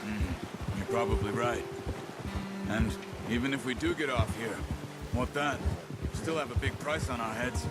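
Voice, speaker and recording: A man voicing a calm, self-reliant American outlaw of the Old West in a video game, terse and understated. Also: medium pitch, unhurried, pauses often, natural voice. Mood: practical. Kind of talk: video game character dialogue. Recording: game audio under loud music. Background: loud music.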